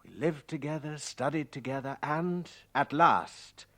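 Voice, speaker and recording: A man's voice: a little high, expressive, sharp tone, heavily acted. An actor playing an eager, wonder-struck young spaceman who narrates his voyage in lyrical 1960s radio drama style, on a hissy vintage recording. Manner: lyrical, theatrical, deliberate phrasing.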